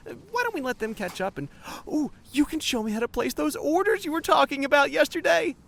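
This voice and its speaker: A woman playing an eager, nervous, good-natured young office newcomer in a comedy audio drama, hesitant and excitable. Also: sits high, fairly quick, gravelly. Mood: placating.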